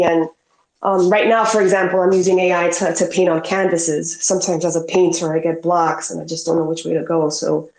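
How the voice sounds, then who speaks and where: A woman with a stuffy cold chatting casually as a guest artist on an online call, often pausing to clarify herself. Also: lowish voice, a little mellow. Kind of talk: casual chat. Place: live online audio call from New York. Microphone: headset. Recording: roomy, echoing online call.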